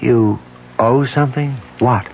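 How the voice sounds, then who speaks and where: An actor playing a patient, persistent detective husband who speaks in a gentle, coaxing way. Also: male voice, mid-range voice, measured pace, creaky, a little hiss or hum. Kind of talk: 1970s radio drama acting. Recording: dull old radio broadcast.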